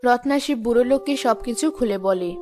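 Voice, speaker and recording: A woman's voice: fairly high pitch, a little fast, music in the background. A woman reading scripted narration for a children's fairy-tale cartoon.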